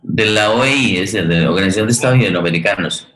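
Low-voiced Colombian man in an echoey room. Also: a bit bright. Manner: halting, repeats words while searching. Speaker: host. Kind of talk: conversational interview hosting.

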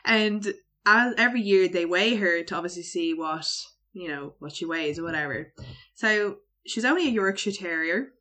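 A young Irish woman on a podcast, telling a story in a relaxed, measured way during casual chat. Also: on the low side. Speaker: podcast co-host.

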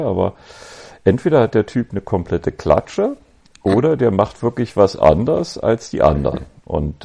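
A man in his fifties from Berlin with a Berlin accent, telling his story as a podcast guest in an easygoing, reflective way with frequent pauses. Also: sits low, vocal fry, slightly rough. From West Berlin, Germany. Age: 50s. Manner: relaxed, reflective, with many ums and pauses. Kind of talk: casual conversational storytelling.

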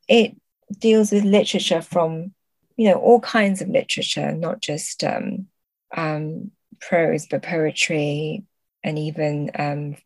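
A woman's voice: deep voice, no echo. A woman speaking as a literary translator in an interview, reflective and hesitant as she searches for words.

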